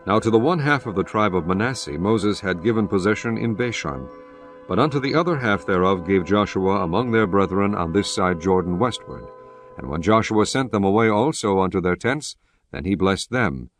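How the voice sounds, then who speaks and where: A man narrating scripture in a measured, solemn scripted reading. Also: fairly low pitch, animated. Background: music underneath.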